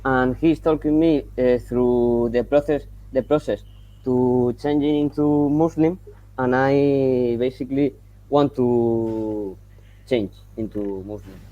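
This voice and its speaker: Slow, halting man with a Colombian accent. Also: medium pitch, steady tone, muffled recording, slight background noise. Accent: Colombian Spanish. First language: Spanish. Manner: halting, searching for English words. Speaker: caller. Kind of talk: call-in discussion. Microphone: video call.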